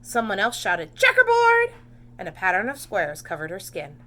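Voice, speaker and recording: A woman teacher reading a storybook to preschoolers, expressive and dramatic, pausing for simple questions and explanations. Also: on the low side. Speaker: preschool read-aloud teacher. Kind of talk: children's storybook narration with asides and questions.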